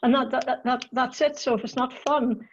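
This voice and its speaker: A woman from Northern Ireland with a softened Northern Irish accent, chatting as a podcast guest and often repeating words as she finds her thoughts. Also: high voice, fairly even intonation, a little fast, lo-fi sound. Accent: Northern Irish, softened by years in the US. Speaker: podcast guest, marketing coach. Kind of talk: casual interview chat.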